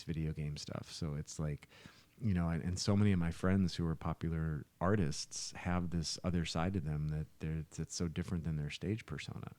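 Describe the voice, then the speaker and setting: A middle-aged man with a very low, soft-edged voice chats as a podcast host. He rambles and doubles back on his words as he explains. The sound is dry. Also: no echo. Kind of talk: casual podcast chat.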